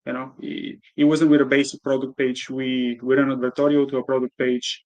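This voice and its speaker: Man from bulgaria, interview guest, candid, halting, fillers. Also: mid-range voice, little pitch movement, a little mellow, rough recording. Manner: candid, hesitant with many "uh"s. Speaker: guest, e-commerce entrepreneur. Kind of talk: casual interview answers.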